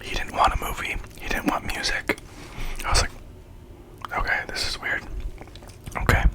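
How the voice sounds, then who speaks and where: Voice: moderate pace, bright, forceful tone. Chatty whisperer telling a story. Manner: chatty, slangy, acts out quoted lines. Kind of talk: whispered ASMR storytime while eating.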